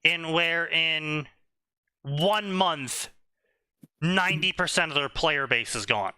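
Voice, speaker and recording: A man co-hosting a casual stream, talking slowly and deliberately, often checking "right?". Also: fairly high pitch, pushed and bright, clear, resonant tone, dry recording. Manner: explanatory, slow and deliberate, asks "right?" often. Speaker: stream co-host.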